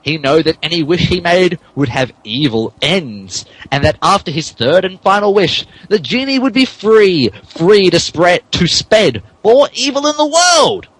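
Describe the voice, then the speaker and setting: A man's voice: sits high, big pitch swings, measured pace, hardly any pauses, a bit bright, no echo, rough recording. A man narrating a comic dramatic reading of fan fiction in a hammy way, stumbling over words. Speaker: narrator, podcast reader.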